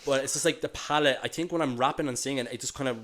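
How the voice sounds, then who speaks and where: Fast-talking, soft-spoken Dublin man. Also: medium pitch, steady tone. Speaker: guest rapper. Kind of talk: casual chat.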